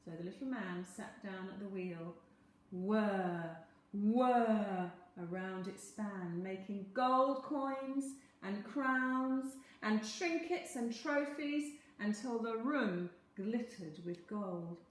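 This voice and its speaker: A woman reading a children's story aloud in a dramatic, expressive manner. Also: medium pitch, slow. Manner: dramatic, animated. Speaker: storyteller, teacher. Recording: very echoey room.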